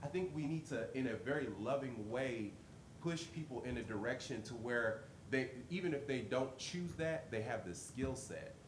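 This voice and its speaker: A man's voice: medium pitch, animated, moderate pace. A man on a conference panel, speaking playfully and repeating words as he starts sentences. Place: conference session room. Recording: roomy session recording with noise.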